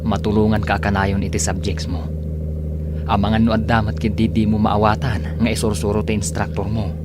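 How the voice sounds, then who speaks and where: An eager young Filipino boyfriend played by a man with a slightly low voice and an Ilocano accent. He talks in a friendly, persuasive way in a village radio drama. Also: little pitch movement, moderate pace, heavy background noise, lightly acted.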